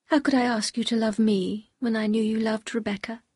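A woman's voice: on the high side, fairly even intonation, a little fast, a little mellow, husky, no echo, clean studio sound. A woman voicing a shy, devoted young wife in an audiobook, anxious, earnest and pleading. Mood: hurt, earnest. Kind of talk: audiobook character dialogue.

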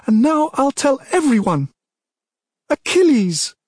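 A headstrong young princess in an audiobook. The voice is pitched far up, shrill and insistent, with desperate, impassioned delivery and a slightly husky grain. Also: male voice, sing-song, a little slow, mellow, no room sound, studio quality, exaggerated voice. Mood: furious screaming.

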